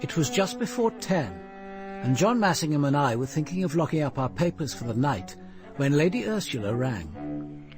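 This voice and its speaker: A man playing a measured, precise police commander who narrates his investigation in a radio drama. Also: on the high side, very animated, over music.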